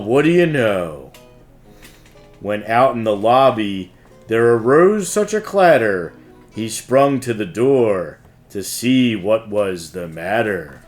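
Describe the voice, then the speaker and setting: A man reading a rhyming holiday storybook aloud in an expressive, measured way. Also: medium pitch. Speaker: host and storybook reader. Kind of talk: read-aloud storybook narration.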